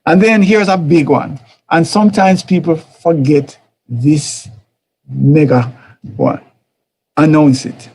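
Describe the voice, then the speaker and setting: A man's voice: on the high side, slow, pauses often, darkish tone, husky. A man with a Jamaican accent giving an informal church teaching talk, conversational and repetitive, often chuckling and acting out quoted voices. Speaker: teacher of a church life series.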